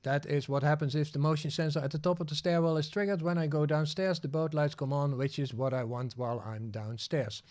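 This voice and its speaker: A man's voice: on the high side, fairly even intonation, brisk, few pauses, a little mellow. A man whose first language is Dutch hosts a livestream, walking through a tutorial on screen and thinking aloud, with frequent fillers and restarts. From Netherlands. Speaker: livestream host. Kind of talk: casual screen-share tutorial.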